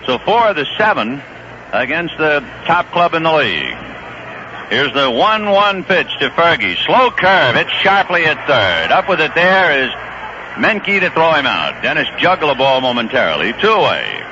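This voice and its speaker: Guy calling a ballgame on old radio, lively up-and-down voice, muffled with lots of hiss. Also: on the high side. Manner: brisk, rising with excitement on big plays. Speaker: play-by-play announcer. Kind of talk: live baseball radio commentary. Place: ballpark broadcast booth. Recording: muffled vintage 1970s radio broadcast with noise.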